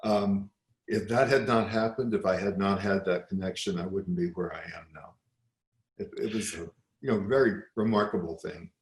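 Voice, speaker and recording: Older American man, very low echoey voice. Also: normal speed. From South Texas, United States. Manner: hesitant, often repeating and restarting phrases. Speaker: composer and interview guest. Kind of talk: reflective conversational interview.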